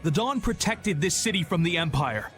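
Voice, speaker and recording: An actor playing a proud, loyal, agitated guild fighter in a video game, with fervent, declamatory delivery. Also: male voice, fairly high pitch, expressive, moderate pace, a bit bright. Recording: music under the voice.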